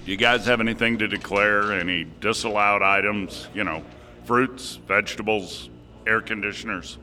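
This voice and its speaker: A man's voice: fairly low pitch, steady tone, normal speed, bright, forceful tone, faint music behind. A man acting in a radio play as a casual, easygoing security officer with an official line of questioning. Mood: routine, friendly. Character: casual male security officer at hell's service entrance.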